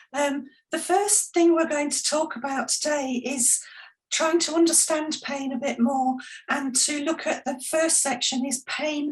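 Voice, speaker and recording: A woman with a slightly croaky voice speaking semi-formally as a panellist on a webinar. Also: high voice, rough recording. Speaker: panel moderator and hypnotherapist.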